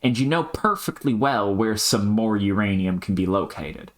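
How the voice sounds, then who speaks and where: A man voicing an aloof, pedantic artificial intelligence in amateur scripted dialogue, deadpan and brusque, with wordy, technical phrasing. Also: on the low side, normal speed, lightly acted. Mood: dry, unmoved.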